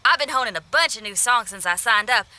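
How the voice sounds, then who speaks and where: A woman playing a spirited, tenacious young female rock musician in a video game, with a folksy, expressive way of talking. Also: fairly high pitch, fast. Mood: excited. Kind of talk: video game cutscene voice acting. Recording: faint music behind.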